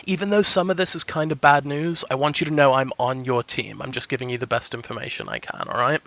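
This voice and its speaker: A man's voice: mid-range voice, animated, fast, gravelly, bright, forceful tone. A man working as a psychic, talking in an effusive, reassuring, salesman-like way as he gives a conversational reading. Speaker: psychic giving a sample reading. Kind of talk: conversational phone reading for an audience.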